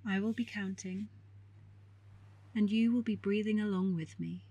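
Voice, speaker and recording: A woman guiding a meditation in a calm, soothing, measured way with long pauses and a soft, breathy voice. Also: medium pitch, no echo. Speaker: meditation guide. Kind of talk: guided meditation narration.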